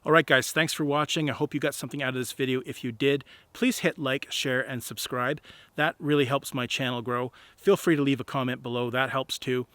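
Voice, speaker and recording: A man's voice: medium pitch, fairly even intonation, brisk, fairly bright tone, dry recording. A plain-spoken man explaining gear in a casual review to camera, recorded outdoors on an on-camera microphone. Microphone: on-camera shotgun microphone.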